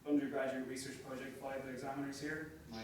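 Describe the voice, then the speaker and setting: A young Irish man giving an academic talk as a lecturer, relaxed and self-deprecating, with frequent fillers. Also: medium pitch, quick pace. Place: seminar room. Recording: echoey room from far off, noisy.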